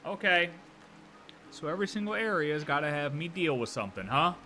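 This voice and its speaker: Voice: mid-range voice, very animated, a little fast, bright, forceful tone, slight background noise. A streamer giving casual, playful, exclamatory live commentary on a game, often repeating phrases. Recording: stream with game music behind.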